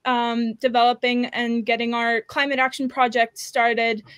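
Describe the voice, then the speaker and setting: Young woman from Switzerland, native Swiss German speaker, smooth clear voice, flat intonation, panelist. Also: on the high side, a little slow, no room sound, clean studio sound. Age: young adult. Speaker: student panelist. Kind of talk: self-introduction on a live panel. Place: indoors on a video call. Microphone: computer video call.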